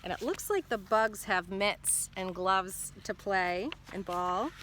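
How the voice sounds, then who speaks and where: Female primary teacher, bright crisp-edged delivery, expressive storybook read-aloud with explanatory asides, slight background noise. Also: medium pitch, takes it slowly. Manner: instructive, checking in with questions. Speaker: teacher reading a picture book aloud.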